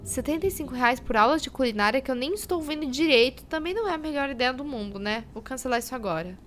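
A woman's voice: high-pitched, animated, fast, noisy background. An actress playing a frazzled, impulsive young woman fretting over money, with a Brazilian accent, in an audio drama. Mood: self-reproaching. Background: music behind the voice.

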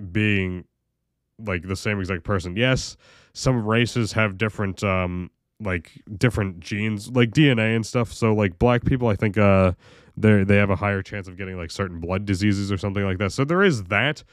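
Young American man, very low voice, loose rambling delivery, dry recording. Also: no echo. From United States. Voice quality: very deep voice. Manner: rambling, lots of fillers and restarts, swears casually. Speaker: video creator. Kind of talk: casual monologue vlog.

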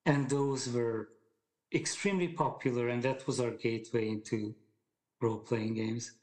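A man in his forties, speaking as a guest in a relaxed podcast chat. He talks in a thoughtful, halting way, with many fillers and restarts as he gathers his thoughts. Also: medium pitch. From Hungary. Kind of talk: casual conversation.

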